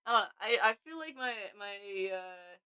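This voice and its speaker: A young woman talks through her game code live to her chat, slow and unhurried but with a bright, edgy tone. Her voice sounds worn from too much talking this week, and she rambles, trails off and breaks into her own sentences. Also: mid-range voice, lively intonation, smooth. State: voice tired from overuse. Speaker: game developer streamer. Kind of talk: casual live-stream chat while coding.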